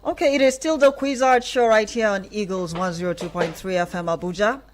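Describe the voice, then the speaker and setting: A woman with a Nigerian accent presents on live radio. Her voice sits at a middling pitch, recorded on a studio microphone as she addresses guests and listeners. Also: brisk, slight background noise. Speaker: radio show host. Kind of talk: live radio hosting and interviewing.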